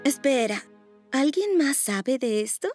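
A bubbly teenage cartoon princess with a high voice and a Latin American Spanish accent. She is chatty and expressive, with music clearly behind her. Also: slow. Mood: concerned, conspiratorial. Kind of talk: animated cartoon dub acting.

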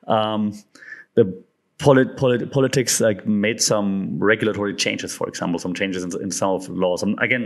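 A man in his thirties with a German accent, hosting a podcast from his living room in a chatty, animated way with joking asides. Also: fairly low pitch. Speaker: podcast host. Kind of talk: casual chat. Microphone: studio mic on stand in front.

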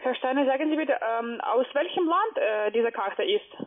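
Woman in halting broken German on a phone line. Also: high voice, expressive. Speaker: phone scam caller. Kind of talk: phone call.

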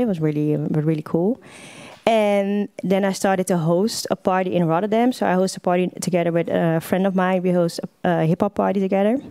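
A woman's voice: fairly low pitch, mellow, no echo. A woman, talking unprepared at a podium microphone, loose and full of "like" fillers. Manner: loose, with many "like" fillers and restarts. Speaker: panel speaker. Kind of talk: unprepared casual talk. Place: lecture hall, at a podium.

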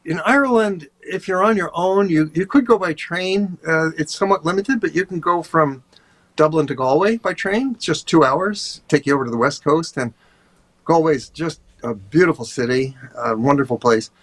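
An older man, a tour guide, chatting over a video call in a relaxed, rambling way with many fillers. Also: a little high, moderate pace. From USA. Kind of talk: casual interview talk. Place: home office, indoors.

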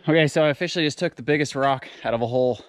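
Relaxed, folksy man in his 30s, talking to a handheld camera outdoors, faint noise behind. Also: mid-range voice, very fast. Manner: laid-back and folksy. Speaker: homesteading vlogger. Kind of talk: casual on-camera narration. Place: outdoors at a forest homestead. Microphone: camera held at arm's length.

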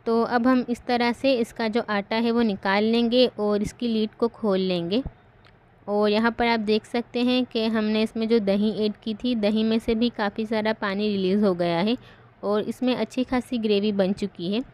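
A woman host giving calm, step-by-step voice-over narration for a home cooking recipe. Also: on the high side, normal speed.

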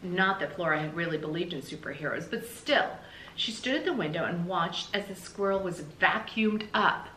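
A middle-aged American woman reading a children's story aloud in an animated, emphatic, explanatory way, like a teacher. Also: fairly low pitch, moderate pace. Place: small indoor room with bookshelves. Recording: roomy echo, faint background noise.

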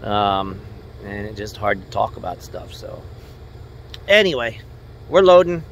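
A man's voice: very animated, measured pace. An American man, a truck driver, talking casually and unscripted to camera in a rambling, plainspoken way. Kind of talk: casual vlog narration to camera. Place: outdoors by trucks and in a moving truck cab. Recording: noisy outdoor and truck cab, engine rumble. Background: vehicle and engine noise.

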